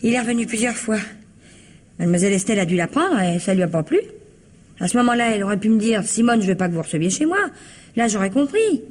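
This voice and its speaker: An actress playing a naive, indignant, chatty young housemaid in a French radio mystery play, with colloquial, gushing, plaintive delivery. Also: female voice, medium pitch, lively intonation, fast talker, darkish tone, lightly acted.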